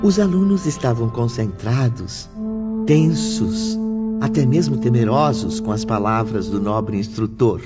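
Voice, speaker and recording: A man's voice: mid-range voice, animated, unhurried. A man narrating an audiobook in a scripted, dramatic storytelling style. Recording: dull audio with music behind.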